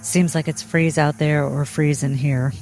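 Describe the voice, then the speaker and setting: A woman doing in-character tabletop role-play drama in a measured, deliberate, restrained way. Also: deep voice, steady tone, a little mellow, faint music behind. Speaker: role-play game player.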